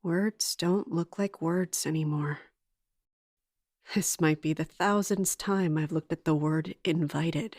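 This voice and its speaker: A woman's voice: low-pitched, takes it slowly, a little mellow, no echo, studio quality. A woman playing a sleepless, fretful royal lady running palace affairs in an audio drama monologue. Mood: weary, rambling.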